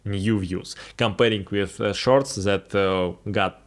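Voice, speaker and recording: A man with a Russian accent, a non-native English speaker, explaining YouTube formats in a talking-head video and pausing often on fillers. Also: on the low side, fairly even intonation. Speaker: YouTube strategy creator.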